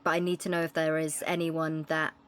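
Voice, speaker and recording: A woman's voice: sits low, completely flat delivery, fairly quick. A woman in her thirties roleplaying a police chief, swearing freely and laying out worried explanations at length. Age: 30s. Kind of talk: in-character roleplay chat.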